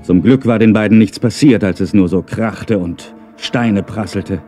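A man's voice: lowish voice, a little slow, over music. A man narrating a scripted children's audio story.

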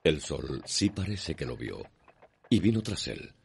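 A man narrating a radio soap in a solemn, measured, poetic manner. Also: rumbling low, big pitch swings, vocal fry, background noise. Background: music.

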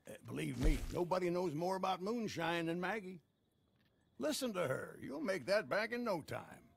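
A man playing a grizzled old American frontier trader in a video game, folksy and rambling like a salesman, with a gravelly voice. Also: high voice, big pitch swings, normal speed, dry recording, exaggerated voice. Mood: earnest. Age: older. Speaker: game character actor. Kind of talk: video game cutscene acting.

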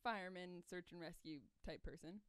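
A woman's voice: on the low side, moderate pace, a bit bright, smooth, no room sound. A young woman chatting casually as a musician co-host into a stage microphone. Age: young adult. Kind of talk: casual chat. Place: small curtained indoor stage studio. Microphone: stage microphone on stand.

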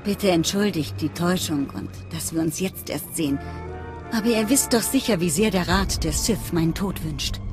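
An actress playing a secretive, fanatical cult leader in a game, speaking in a measured, earnest, conspiratorial way. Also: female voice, on the low side, music in the background, natural voice. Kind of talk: dramatic game voice acting.

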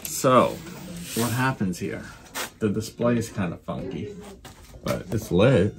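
A man's voice: fairly low pitch, very animated, takes it slowly, crowd noise. An older man, a repair technician, chatting casually as he works in a small workshop. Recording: clear background noise, workshop clicks.

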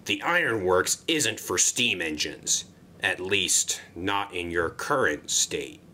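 A man's voice: sits low, expressive, takes it slowly, sharp tone, slight background noise, voice pushed down, full character voice. Man voicing a blunt, stern crane. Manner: blunt and warning. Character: stern male crane at an ironworks.

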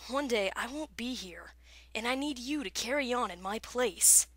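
Woman as a worried cartoon lion dad, firm and earnest, bright clear tone. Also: on the high side, expressive, normal speed, no echo. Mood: worried, tender. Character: stern, protective, anxious lion king father.

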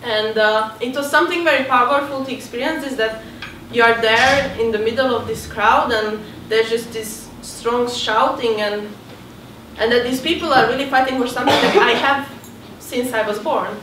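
Young woman, earnest halting talk, higher voice, distant and roomy, noisy hall. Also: fairly bright tone. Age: young adult. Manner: hesitant, earnest, many fillers. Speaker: student presenter. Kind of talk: informal panel talk. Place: dim hall with seated audience.

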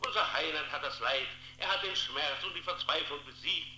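An actor playing an earnest Protestant preacher, solemn and declamatory, heard through a telephone in a 1960s radio play. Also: male voice, a little high, brisk, sharp tone. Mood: solemn exhorting. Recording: echoey, noisy, humming telephone line.

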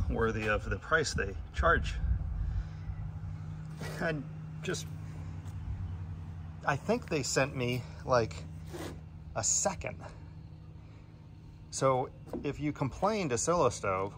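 A man talks plainly and a bit annoyed as he points out flaws in a product he holds up. He speaks at an ordinary middle pitch, filming on a phone out on a wooden deck. Clear outdoor noise runs under his voice. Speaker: product reviewer. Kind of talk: casual handheld product review. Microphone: phone camera in hand.

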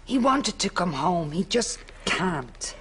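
Dry Irish daughter, noisy studio. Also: female voice, fairly low pitch, sing-song, slow pace. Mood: placating. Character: exasperated, plain-spoken grown daughter of Irish mother. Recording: noisy studio audience sitcom recording.

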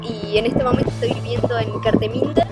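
A woman's voice: fairly high pitch, fairly even intonation, a little fast, over loud music. Cheerful Argentine woman in her thirties. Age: 30s. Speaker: working holiday traveler. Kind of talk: casual testimonial to camera. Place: outdoors at a harbor.

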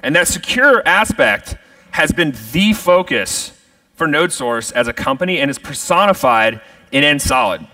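A man's voice: fairly high pitch, expressive, normal speed, bright, forceful tone, no echo, distant mic, poor audio. A middle-aged man giving a polished, upbeat product keynote on a large conference stage. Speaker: keynote speaker.

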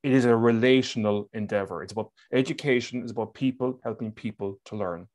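A man's voice: on the low side, brisk, darkish tone. A middle-aged man with an Irish accent, speaking emphatically as a webinar panelist over a headset. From Ireland. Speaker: education leader, webinar panelist. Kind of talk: explanatory panel talk. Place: indoors on a video call.

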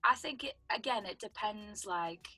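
A young British woman with a husky voice, a songwriter guest chatting casually over a video call from home. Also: mid-range voice, a little slow, pushed and bright, soft background music. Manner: chatty, explains with lots of "like" and "do you know what I mean". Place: home room indoors. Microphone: video call webcam.

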